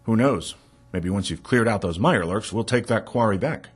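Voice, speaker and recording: A husky, gruff island townsman. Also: fairly low pitch, lively intonation, moderate pace. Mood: determined. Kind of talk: scripted game character dialogue.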